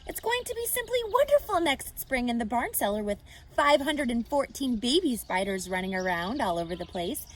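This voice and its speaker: A woman's voice: a little high, big pitch swings, moderate pace, few pauses, a little hiss or hum, pitched above the performer's own voice. A woman voicing a naive, tender young male pig in a storybook, eager and full of questions. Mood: worried, hopeful.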